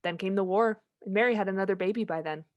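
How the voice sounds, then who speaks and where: A woman's voice: medium pitch, fast talker, muffled recording, close to an everyday voice. A woman playing a knowing heavenly storyteller in a radio play, narrating in a conversational way. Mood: matter-of-fact.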